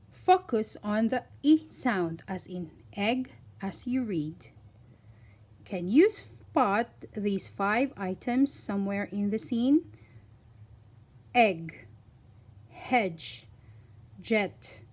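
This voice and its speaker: A woman reading a scripted phonics lesson for young children, deliberately enunciating single words in an instructional way. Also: mid-range voice, a little mellow. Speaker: children's phonics teacher and reader.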